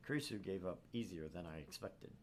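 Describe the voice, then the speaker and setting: Man playing a troubled, low-voiced young student. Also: lively intonation, fairly quick. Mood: brooding, shaken. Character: troubled, haunted young male student narrating his anguish. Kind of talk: visual novel narration. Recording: clear background noise.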